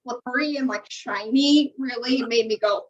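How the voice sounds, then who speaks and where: A woman's voice: on the high side, moderate pace. An American woman co-hosting a podcast, chatting casually and candidly with strong opinions and casual swearing. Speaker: podcast co-host. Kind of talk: casual chat recapping a reality TV show. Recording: muffled, distant-sounding microphone.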